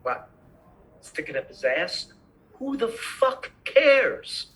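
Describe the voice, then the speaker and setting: A woman's voice: mid-range voice, big pitch swings, slow pace, fairly bright tone, rough recording. A woman playing a bitter, foul-mouthed male tax auditor in a screenplay table read, irritable and sarcastic. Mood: sarcastic contempt.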